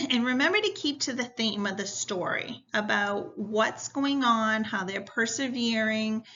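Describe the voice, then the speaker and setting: A woman's voice: a little high, a little slow, a bit bright, lo-fi sound. A woman reading a story aloud like a teacher, addressing young listeners directly in an instructive way.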